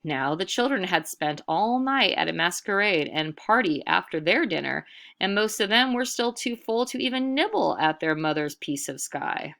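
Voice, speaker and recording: Female storyteller, low register, for expressive picture-book narration with deliberate, dramatic emphasis. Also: gravelly.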